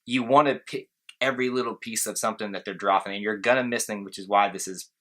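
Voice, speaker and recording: A man co-hosting a casual movie review, talking in a playful, excitable and emphatic way. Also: on the low side, fast.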